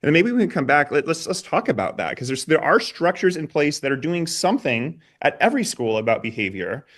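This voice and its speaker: A man's voice: on the high side, big pitch swings, a bit bright. Earnest male interviewer thinking aloud. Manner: earnest, halting, repeats words as he thinks aloud. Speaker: interviewer, host. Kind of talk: conversational interview.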